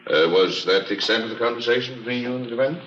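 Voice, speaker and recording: An actor playing a formal, methodical prosecutor questioning a witness in a radio courtroom drama. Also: male voice, mid-range voice, very fast, a bit bright, close to an everyday voice. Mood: formal, probing. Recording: dull, faintly noisy old radio recording.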